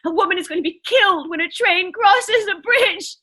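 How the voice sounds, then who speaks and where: A woman's voice: very high voice, normal speed, bright, forceful tone. A woman playing an anxious, demanding invalid wife in a radio thriller, agitated and stammering as fear takes hold.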